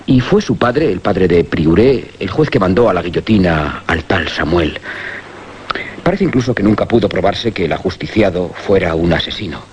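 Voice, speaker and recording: Obsessed driven man in a radio play, low voice, muffled recording. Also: animated, normal speed. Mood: fervent, determined. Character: obsessed, excited man bent on righting an injustice. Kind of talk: radio drama acting. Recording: dull audio with faint noise.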